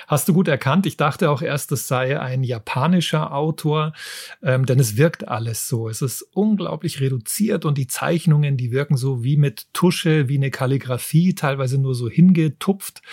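A man's voice: mid-range voice, a little mellow, husky, no room sound, studio quality. A man co-hosting a book podcast in a conversational reviewing style.

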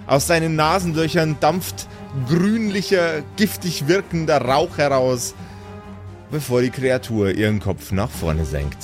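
Slow, deliberate male game master over music. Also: fairly high pitch, animated. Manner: deliberate, suspenseful phrasing. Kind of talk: dramatic storytelling narration of a live tabletop game.

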